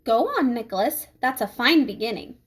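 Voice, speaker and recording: A woman voicing a prim, strict female schoolteacher with a formal, measured manner in a storybook reading. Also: on the high side, lively intonation, breathy edge. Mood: encouraging.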